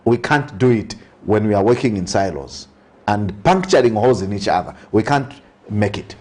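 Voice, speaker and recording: A man's voice: lowish voice, lively intonation, moderate pace, darkish tone. A man with a Zambian accent, a priest speaking as an interview guest, giving earnest social commentary with emphatic repetition and touches of preaching.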